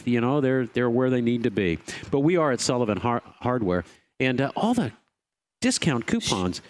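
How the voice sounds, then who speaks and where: Lively jovial man co-hosting radio. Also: mid-range voice. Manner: jovial, teasing, reads deals off quickly. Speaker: radio show co-host. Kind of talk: casual radio chat and store promo.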